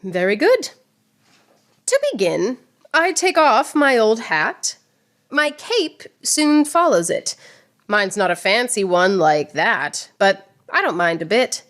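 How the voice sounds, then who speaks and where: A woman's voice: medium pitch, sing-song, slow, fairly bright tone. A woman playing a proud, witty swordswoman poet in radio theatre, declaiming verse with mocking precision. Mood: triumphant, taunting.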